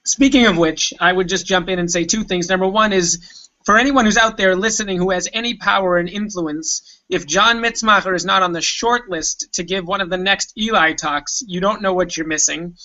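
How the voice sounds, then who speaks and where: A man's voice: high voice, moderate pace, pushed and bright. A middle-aged man from the Boston area of the United States, co-hosting in a casual conversational way over a video call. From North Shore of Boston, United States. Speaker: co-host and school head. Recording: dull lo-fi online call audio.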